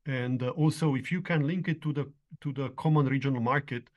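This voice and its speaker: Man, panel moderator, mid-range pitch, asks follow-ups, conversational. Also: a little fast. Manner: steering, asks follow-up questions.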